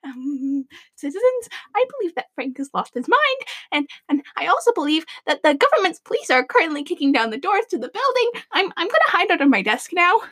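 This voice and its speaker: A woman's voice: very high-pitched, big pitch swings, brisk, fairly bright tone, full character voice. A woman playing an eager, theatrical government radio announcer in a comic dystopian audio drama. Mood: nervous.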